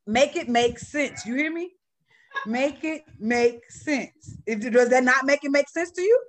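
A woman with a Chicago accent and a deep, raspy, gritty voice, co-hosting a casual chat about spirituality and speaking with authority. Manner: animated, laughs often, speaks with authority. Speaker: podcast co-host.

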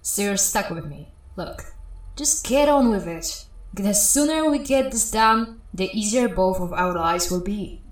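A woman's voice: mid-range voice, measured pace, lightly acted. A woman playing a blunt, exasperated female systems technician in a sci-fi comedy audio drama, with sarcastic delivery. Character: exasperated, scolding female spaceship systems tech. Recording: roomy echo.

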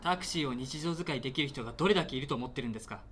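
A man's voice: medium pitch, racing, fairly bright tone, a little hiss or hum, lightly acted. A man playing an earnest, indignant railway station attendant in a radio drama, speaking in polite but insistent, reproachful sentences. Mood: impassioned anger. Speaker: actor in an amateur radio drama. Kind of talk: naturalistic radio drama dialogue.

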